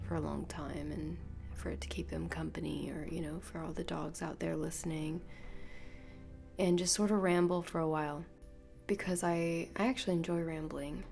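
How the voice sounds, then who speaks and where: A woman hosting a solo podcast, rambling softly and half-whispering, her voice nasal and congested from illness. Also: on the low side, creaky. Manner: soft, half-whispered, meandering. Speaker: podcast host. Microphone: podcast microphone.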